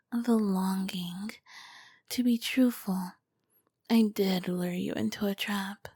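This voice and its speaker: Casting: female performer as charming, dangerous royal vampire queen for ASMR roleplay; breathy whispered delivery, unhurried, courtly and flirtatious. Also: medium pitch, a little mellow, no echo, clean studio sound. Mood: hungry, menacing. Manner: slow, formal, flirtatious phrasing. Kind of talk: ASMR roleplay, scripted and intimate.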